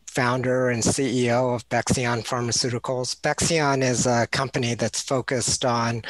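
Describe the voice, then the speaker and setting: A man, a company founder and chief executive, introducing his company on an online panel in polished, deliberate phrasing. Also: mid-range voice, dry recording, poor audio. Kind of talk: prepared self-introduction on a panel.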